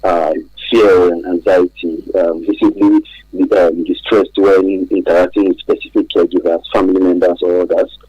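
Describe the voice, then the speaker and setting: A man with a Nigerian accent explaining things as an interview guest on radio, speaking haltingly and deliberately, often pausing to find words. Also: low-pitched, little pitch movement, warm tone, no echo, rough recording.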